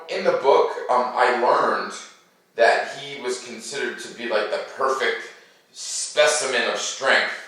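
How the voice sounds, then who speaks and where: A man talking to children like a school teacher, playful and animated, explaining things in simple words. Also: mid-range voice, far from the mic. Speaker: school teacher hosting a read-aloud for children. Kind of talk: chatty talk to children, then storybook read-aloud. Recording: very echoey room, away from the mic.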